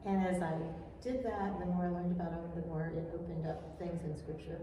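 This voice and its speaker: Soft-spoken older woman in an echoey room. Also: fairly low pitch, fairly even intonation, a little fast. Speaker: author guest. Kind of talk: casual interview answers. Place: echoey room at a writer's retreat, background noise. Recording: echoey distant room mic with faint noise.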